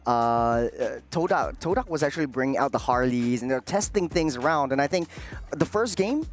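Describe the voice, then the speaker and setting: A man's voice: mid-range voice, expressive, moderate pace, faint music behind. A man from Singapore working as an esports analyst, giving unscripted panel analysis with frequent self-corrections.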